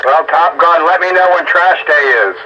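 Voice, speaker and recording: A man's voice: on the high side, steady tone, moderate pace. A man chatting casually in a folksy, colloquial way over a two-way radio transmission. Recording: dull, noisy radio transmission.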